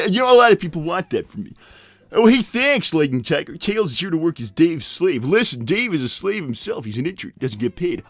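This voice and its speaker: Glib cartoon animal boss, played by a man: blunt and rambling, highish lively voice, dry close sound, music behind. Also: no room sound. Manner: rambling, blunt, breezy, many digressions. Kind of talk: improvised live character roleplay.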